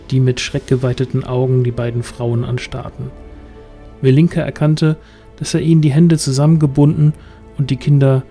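Mellow male storytelling narrator. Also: medium pitch. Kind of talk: scripted narration of fantasy audio drama. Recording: music underneath.

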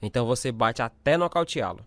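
A man's voice: mid-range voice, expressive, brisk, no room sound, lightly acted. A man playing a stern, hard-nosed military father in a radio drama.

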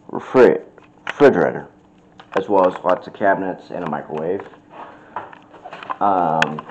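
Young man, hotel room tour, plain casual manner, slow delivery, muffled handheld phone sound. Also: deep voice, slight background noise. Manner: plain, unhurried, with fillers and restarts. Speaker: vlogger. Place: hotel suite, indoors. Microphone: handheld phone camera.

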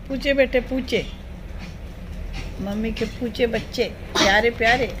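A woman's voice: a little high, fairly even intonation, unhurried. A woman talking to her pet parrot at home in doting, sing-song baby talk. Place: living room at home, indoors. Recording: home phone video with background noise.

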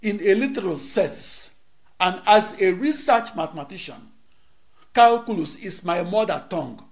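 A middle-aged man from Nigeria, a native Igbo speaker, with a notably high-pitched voice. He delivers a rehearsed lecture in short, declaimed phrases broken by dramatic pauses, recorded close on a lapel mic in a studio. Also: expressive.

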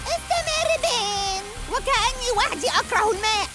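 A woman's voice: extremely high pitch, expressive, normal speed, music in the background. A woman voicing a smug, theatrical girl villain in a cartoon dub, haughty and taunting. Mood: mocking. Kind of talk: animated cartoon dub acting.

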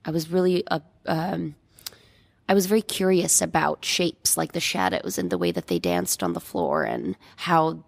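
A woman's voice: low-pitched, expressive, moderate pace, vocal fry, no room sound. A woman from Bosnia, a painter, talking reflectively in a casual interview and hunting for words with many fillers. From Bosnia and Herzegovina.

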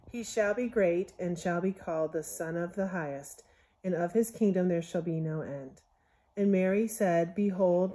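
A woman reading a Bible story aloud from a picture book in measured, scriptural phrasing, as a Sunday school storyteller. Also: on the low side, dark tone.

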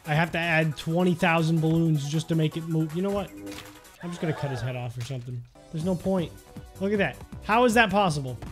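Young dude joking through gameplay, mock serious and excitable, music playing behind. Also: male voice, a little high, a little fast. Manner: playful, exclamatory, mock-serious. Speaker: gaming video host. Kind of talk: casual joking gameplay commentary.

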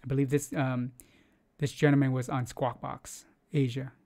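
A man's voice: mid-range voice, little pitch movement, normal speed, a little mellow. A man in his forties hosting market news in a casual, loose way, with many fillers and restarts, speaking English as a second language from a home studio on a close mic. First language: not English. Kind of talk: casual screen-share news commentary.

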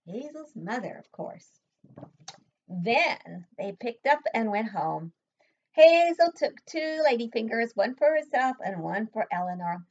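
An older woman and preschool teacher reading a picture book aloud at home, pausing to ask the children questions. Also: on the high side. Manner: expressive read-aloud, asks children questions. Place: home room, indoors. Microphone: webcam at desk. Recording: dull lo-fi webcam audio.

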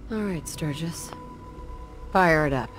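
A woman's voice: low voice, slow pace, music in the background. An actress playing a hard, ruthless woman commander in a video game, blunt and unsentimental. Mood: firm. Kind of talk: dramatic video game dialogue.

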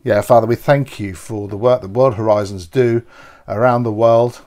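A man's voice: lowish voice, fairly even intonation, moderate pace, darkish tone, faint music behind. A middle-aged man from England giving church notices and a prayer from his home office, talking casually with many filler sounds and restarts into a close webcam. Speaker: church leader.

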